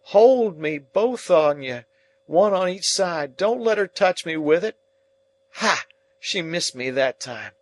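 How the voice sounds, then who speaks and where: A man's voice: medium pitch, pitched above the performer's own voice, close to an everyday voice. A man voicing a delirious, terrified man who pleads and screams at a ghost he believes he sees.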